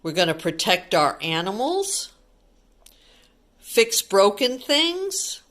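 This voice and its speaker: A woman's voice: medium pitch, sing-song, slow pace, fairly bright tone. A woman reading a picture book aloud to young children like a teacher, explaining simply with repeated words and little questions. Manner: simple child-directed talk with repetition and questions. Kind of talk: read-aloud storytime with simple asides to children.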